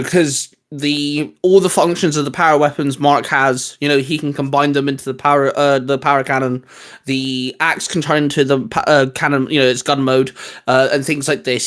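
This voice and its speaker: A man's voice: medium pitch, fairly bright tone. A man hosting a casual, geeky hobby chat, rambling along with plenty of "you know". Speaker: host of a hobby discussion show.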